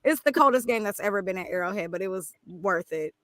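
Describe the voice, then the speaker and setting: A woman's voice: medium pitch, fast, no room sound. A woman co-hosting a casual podcast chat, telling stories with animation and lots of fillers. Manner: animated storytelling, many fillers. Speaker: podcast co-host.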